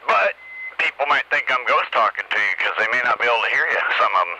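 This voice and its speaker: A man's voice: mid-range voice, animated, fast talker. Laid-back American man on noisy CB radio. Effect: CB radio transmission. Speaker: CB radio operator. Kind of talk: casual radio chat. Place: radio setup at home. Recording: noisy CB radio with clear static.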